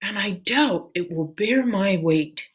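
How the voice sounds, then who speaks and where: Woman voicing a slow, sorrowful gentle male storybook dragon. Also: medium pitch, lively intonation, breathy edge. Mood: downcast, resigned. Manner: slow, earnest, simple delivery. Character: large, sad, gentle male dragon, slow-speaking and loyal.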